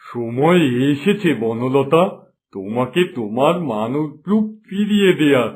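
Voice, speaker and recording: A man's voice: mid-range voice, animated, a little slow, a little mellow, close to an everyday voice. A man playing a kind, resolute holy sage in a cartoon fairy tale, speaking with solemn assurance. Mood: solemn, reassuring. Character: benevolent, resolute holy sage with mystic powers.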